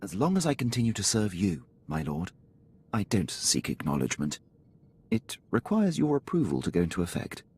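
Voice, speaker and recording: An actor playing a dutiful, formal military officer in a video game, deferential and precise, with clipped phrasing. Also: male voice, deep voice, wildly swooping pitch, moderate pace, darkish tone, slightly rough, exaggerated voice. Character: dutiful, formal male imperial military officer, loyal subordinate. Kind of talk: video game character acting.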